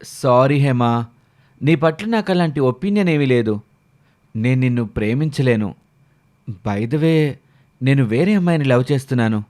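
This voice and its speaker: A man's voice: medium pitch, expressive, normal speed, long pauses, a little mellow, natural voice. Man voicing a polite, frank young college man. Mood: apologetic, frank.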